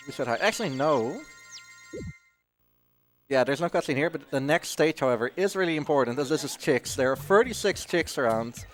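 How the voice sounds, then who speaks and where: A man giving casual live commentary on his own speedrun, explaining in a halting way and often interrupting himself. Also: fairly high pitch. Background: video game music.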